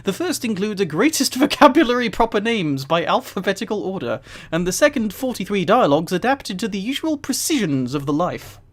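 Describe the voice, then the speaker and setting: Casting: giggly live streamer, medium pitch, highly varied intonation, reads aloud emphatically with amused, casual asides. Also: sing-song, a little fast, few pauses. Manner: giggly, often breaking into laughter while reading.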